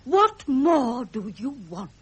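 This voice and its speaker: A woman's voice: high-pitched, very animated, slow pace, husky, full character voice. An actress playing a stern ageing queen and mother with an upper-class British accent, formal and withering, in period radio drama style. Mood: stern, dismissive. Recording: slightly muffled vintage radio recording.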